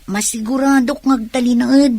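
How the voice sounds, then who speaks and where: A woman's voice: high-pitched, steady tone, moderate pace, warm tone, no echo. A woman playing a sweet, earnest young woman in an Ilocano radio comedy drama.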